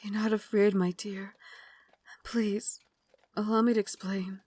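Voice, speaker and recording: A woman playing a refined, tender but tormented Victorian vampire lady in an ASMR roleplay, speaking in a formal, genteel way with breathy asides. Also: mid-range voice, flat delivery, measured pace, warm tone, dry recording, natural voice. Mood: remorseful, soothing. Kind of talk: ASMR roleplay, dramatic monologue.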